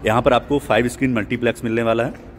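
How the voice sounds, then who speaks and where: A man's voice: medium pitch, fairly even intonation, quick pace, a little hiss or hum. A man giving a walking property sales tour outdoors at a construction site, explaining things with many English terms mixed in. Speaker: real estate agent.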